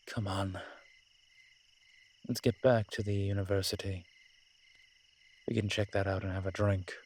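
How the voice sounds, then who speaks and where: Man voicing male incubus demon, low-pitched, slow-paced, wry, sly, worldly, audio roleplay drama. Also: flat delivery, a little mellow, a little hiss or hum. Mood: sly, teasing. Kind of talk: dramatic character roleplay acting.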